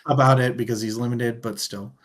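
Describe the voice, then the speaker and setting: Guy cohosting a book talk show, medium pitch, excited and chatty, swears a bit. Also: a little fast. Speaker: co-host of a book discussion show. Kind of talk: casual book chat, reading out listener comments.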